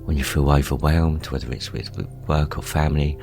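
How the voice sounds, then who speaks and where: Male meditation guide, extremely low voice, calm and gentle delivery over background music. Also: creaky. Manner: calm, gentle, unhurried with pauses. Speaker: meditation guide, show host.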